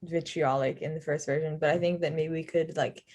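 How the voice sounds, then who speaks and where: A woman's voice: low voice, steady tone, fairly quick, darkish tone. A woman in casual discussion on a video call, informal and searching for her words. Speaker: script collaborator giving feedback.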